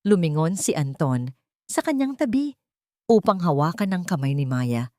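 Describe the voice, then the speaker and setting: A woman with a Filipino accent narrating a dramatic story in a measured, emotive way. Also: deep voice, a little mellow, no room sound, clean studio sound.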